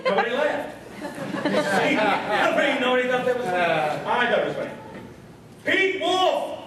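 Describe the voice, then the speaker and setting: A man's voice: very high-pitched, sing-song, moderate pace, fairly bright tone, a little hiss or hum. An American man hosting a live radio stage show in a joking, folksy way. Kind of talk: folksy live comedic emceeing. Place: live stage before an audience. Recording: echoey hall, distant mic, faint crowd.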